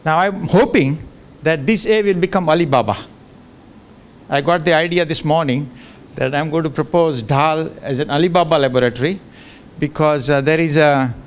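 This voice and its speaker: A man's voice: a little high, moderate pace. Chatty older man lecturing on a handheld mic. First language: Gujarati. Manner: chatty, jokey, many fillers and restarts. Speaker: professor giving a guest lecture. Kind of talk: informal lecture with asides. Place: large lecture room. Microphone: handheld microphone.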